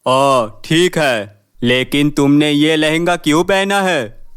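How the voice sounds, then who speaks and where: Stern boss, acted by a man, cartoon story, authoritative, slow and clear. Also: fairly high pitch, a bit bright. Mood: questioning. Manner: authoritative, questioning. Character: stern, questioning modelling company boss, adult man. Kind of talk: cartoon fairy tale voice acting.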